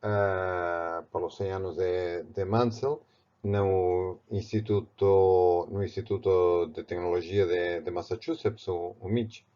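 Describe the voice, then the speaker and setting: A man from Barcelona speaking with a Spanish accent, teaching a painting technique in a halting way, with many restarts. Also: low-pitched, fairly even intonation, mellow, muffled recording. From Spain, Barcelona. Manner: halting, with frequent restarts and fillers. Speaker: art teacher. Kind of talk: step-by-step art tutorial.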